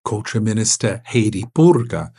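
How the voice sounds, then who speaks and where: Casting: male radio reporter, husky, steady scripted read. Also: on the low side, moderate pace. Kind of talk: scripted news narration.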